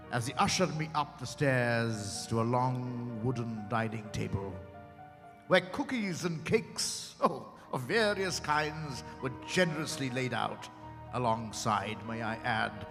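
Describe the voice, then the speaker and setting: Genteel male storyteller acted by a man, unhurried with dramatic pauses, stage reading in a reverberant hall over music. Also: medium pitch, close to an everyday voice. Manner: measured, savoring details, dramatic pauses. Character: genteel man recounting a tense visit. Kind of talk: dramatized first-person storytelling.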